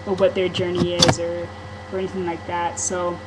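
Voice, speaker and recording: A woman speaks to a camera microphone in a casual vlog, her voice sitting a little low. She talks candidly and rambles, with frequent ums and restarts. Clear background noise runs under the home-video recording. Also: monotone, moderate pace. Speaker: vlogger. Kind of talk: casual personal vlog monologue.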